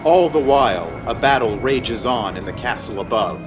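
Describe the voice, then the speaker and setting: A man narrates a fantasy story from a script. His voice sits at a medium pitch, and his delivery is measured and descriptive. Music runs clearly underneath. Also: animated.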